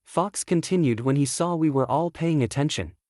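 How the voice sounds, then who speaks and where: Higher-pitched man narrating a fantasy audiobook. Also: normal speed, a little mellow, no room sound, clean studio sound. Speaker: author narrating own audiobook. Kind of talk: scripted first-person story narration.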